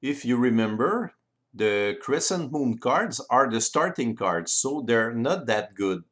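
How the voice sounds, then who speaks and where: A man with a French accent, a native French speaker, giving a careful, deliberate tutorial voice-over on board game rules in non-native English. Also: mid-range voice, sing-song.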